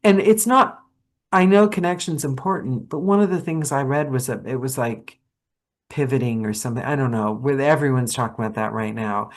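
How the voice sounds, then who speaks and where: A woman hosting a podcast, chatting animatedly with her guest, with quick asides and self-corrections. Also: rumbling low. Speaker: podcast host. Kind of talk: casual interview chat.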